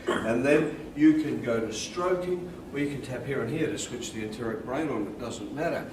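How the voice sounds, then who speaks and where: An Australian man with a deep voice, calmly and deliberately teaching and demonstrating hypnosis in a soft-spoken, repetitive way. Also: background noise. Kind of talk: hypnosis instruction and induction, softly spoken.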